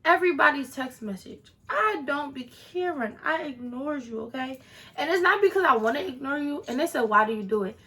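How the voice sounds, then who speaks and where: A woman's voice: high-pitched, lively intonation, fairly quick, sharp tone. A woman of twenty-one answering viewer questions in a casual vlog, chatty with sassy asides to her viewers. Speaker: vlogger.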